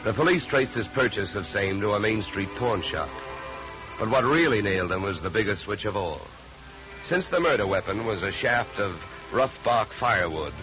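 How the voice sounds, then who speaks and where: A man's voice: on the low side, close to an everyday voice. An American actor playing a tough, wry male investigator in a 1950s radio detective drama, with a clipped hard-boiled delivery. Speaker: lead actor. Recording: muffled 1950s radio recording, music.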